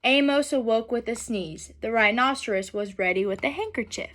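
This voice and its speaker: Bright-voiced young woman reading to children. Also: a little high, normal speed. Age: young adult. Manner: expressive, instructional, addressing young children. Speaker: student teacher reading aloud to children. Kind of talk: picture-book read-aloud with questions to children. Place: small furnished room at home, indoors.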